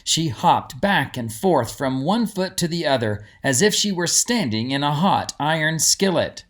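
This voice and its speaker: A man's voice: fairly high pitch, expressive, unhurried, a bit bright. Man narrating a children's storybook. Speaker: author and narrator.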